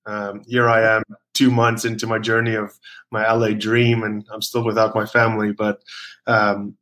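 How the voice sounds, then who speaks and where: Rugby player guest, thirties, from South Africa, slightly low voice, loose rambling delivery. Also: male voice, monotone, normal speed, a bit bright. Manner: rambling, many fillers and restarts. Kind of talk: casual interview chat.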